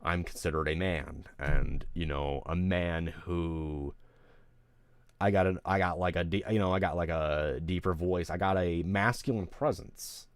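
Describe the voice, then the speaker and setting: Deep-voiced guy streaming, rambling and swearing, real emotional, dry sound. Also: unhurried, no echo. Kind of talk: candid venting on a livestream.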